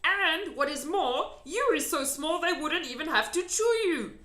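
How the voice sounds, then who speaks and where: A friendly old storybook giant, played by a woman reader, talks in excitable, emphatic bursts with quaintly mangled grammar. The voice is bright and leaps around in pitch. It sounds in an echoey room. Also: unhurried, full character voice. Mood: gleeful explaining. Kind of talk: children's storybook reading.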